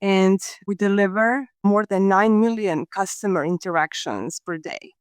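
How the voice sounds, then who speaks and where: Woman from Croatia, middle-aged, smooth and resonant voice, dry close sound, measured business talk. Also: medium pitch, no room sound. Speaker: guest, talent acquisition leader. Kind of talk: podcast interview answers.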